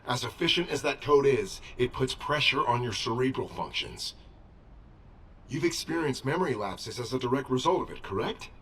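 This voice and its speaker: A man's voice: mid-range voice, animated, normal speed, a bit bright, far from the mic, slight background noise, natural voice. A man voicing a dutiful, cautious covert operative in a video game, speaking formally and deferentially as a loyal subordinate. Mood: concerned.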